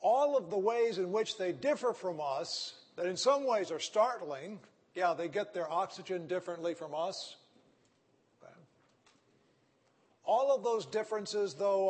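A man's voice: high-pitched, slightly rough. A man with a Texan accent giving an ethics lecture, reasoning step by step and checking in with his listeners with frequent "right?" and "okay?". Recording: echoey hall.

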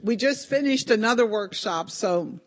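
Slightly hoarse older woman, hesitant. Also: normal speed. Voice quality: deep for a woman. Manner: hesitant, many ums and restarts. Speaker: conference speaker. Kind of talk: informal talk with prayer.

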